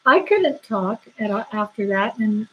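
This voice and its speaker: A woman co-hosting a casual live chat from home, giggly and animated as she tells stories. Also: medium pitch, a little slow, muffled recording, a little hiss or hum. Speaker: co-host.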